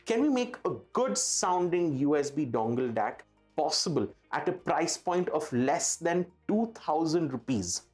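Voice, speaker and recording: A man's voice: on the high side, very animated, a little slow, a little mellow. A man with an Indian accent presents a product to camera in an enthusiastic, emphatic way. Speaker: presenter for an audio retailer. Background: background music.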